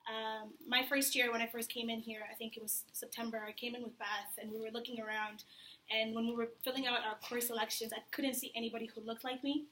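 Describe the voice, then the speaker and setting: A young woman delivers an informal campaign speech in a candid, conversational way. Her voice is bright and forceful and sits a little high. The recording is echoey, as in a reverberant room. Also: flat delivery, fast talker. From Tanzania. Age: young adult. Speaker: student election candidate. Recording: echoey room with faint background noise.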